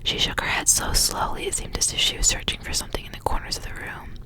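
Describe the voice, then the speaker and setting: A woman speaking in a soft, breathy whisper, hushed like an ASMR reader sharing a story. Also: fast talker. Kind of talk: whispered ASMR story reading with casual asides.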